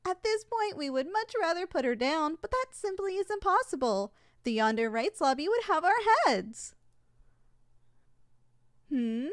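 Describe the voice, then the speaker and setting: Female actor as polite, unflappable saleswoman for an audio roleplay; high, very animated voice, formal sales patter. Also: unhurried, no room sound, studio quality. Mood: cautioning. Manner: formal, courteous, matter-of-fact about danger. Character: polite, unflappable saleswoman giving a yandere shop tour.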